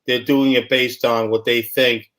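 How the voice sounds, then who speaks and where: A man's voice: mid-range voice, little pitch movement, a bit bright. A man hosting a casual live show, talking loosely and rambling through explanations with plenty of fillers. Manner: loose, rambling, acts out dialogue in quick voices. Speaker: host.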